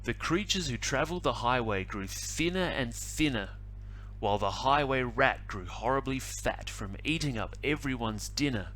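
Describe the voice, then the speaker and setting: A male teacher reading a picture book aloud to children, animated and adding little asides. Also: medium pitch, a little hiss or hum, low-quality recording. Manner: animated, slow storytelling with asides.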